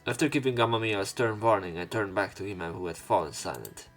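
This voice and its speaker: Low-voiced man reading a visual novel. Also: fast talker, a bit bright. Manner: stumbles over words and corrects himself. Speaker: let's play host reading and narrating. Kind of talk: visual novel read-aloud with casual commentary. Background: game music behind the voice.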